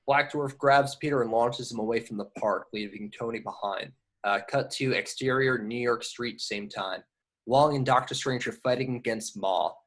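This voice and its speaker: Medium-pitched man reading action narration. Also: normal speed, fairly bright tone, poor audio. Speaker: narrator reading stage directions. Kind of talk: scripted narration in amateur table read.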